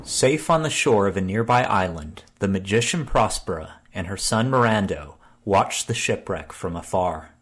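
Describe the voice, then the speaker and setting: A man's voice: on the low side, animated, normal speed, hardly any pauses, very noisy, natural voice. A man playing the storytelling narrator of a radio play, reading scripted narration. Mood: composed.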